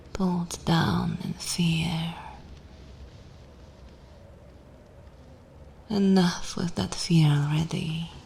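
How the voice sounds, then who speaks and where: A woman voicing a dominant, sensual vampire mistress in an ASMR fantasy roleplay, commanding and savouring, often slipping into soft breathy whispers. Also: fairly low pitch, heavily acted. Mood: commanding, predatory. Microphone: close microphone.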